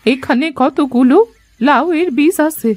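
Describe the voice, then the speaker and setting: A kindly old beggar woman from a cartoon folk tale. Her voice is high and very dry in the recording. She talks in a folksy, coaxing, motherly way. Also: measured pace, darkish tone, dry recording. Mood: warm, coaxing. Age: older. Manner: folksy, coaxing, addresses others as her children. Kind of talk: Bengali moral-story cartoon dubbing.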